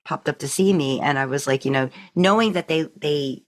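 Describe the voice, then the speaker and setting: A woman podcast host in casual conversation, telling rambling, animated stories. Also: low-pitched, normal speed. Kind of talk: casual chat.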